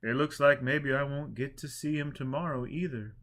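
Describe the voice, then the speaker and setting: A man's voice: mid-range voice, steady tone, moderate pace, fairly bright tone, voice pushed up, lightly acted. A man voicing a charming, befuddled young drunkard from a storybook, hesitant and plaintive.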